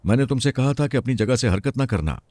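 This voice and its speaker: A male narrator plays a brave young girl in an adventure story, defiant and cocky as she gives warnings. The sound stays dark and dry, with little change into a child's voice. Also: medium pitch, lively intonation, quick pace, dry recording, voice pushed up, lightly acted. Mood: stern warning.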